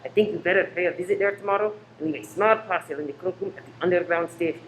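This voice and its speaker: A man's voice: sits high, close to an everyday voice. Man acting a cold, menacing spy boss, background noise. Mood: smoothly menacing. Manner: measured, scornful. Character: cold, menacing male spy ringleader giving orders. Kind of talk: radio play acting. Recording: noisy room recording.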